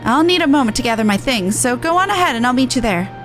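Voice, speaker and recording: Brisk, earnest charity merchant for a game, high female voice, colloquial and plain-spoken, played by a woman. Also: lively intonation, over music, lightly acted. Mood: determined. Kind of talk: reading video game dialogue in character.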